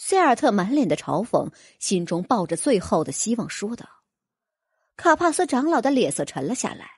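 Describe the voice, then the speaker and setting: A woman's voice: mid-range voice, big pitch swings, moderate pace, breathy edge, dry recording, studio quality. A woman narrating a fantasy audiobook in a dramatic, scripted storytelling style.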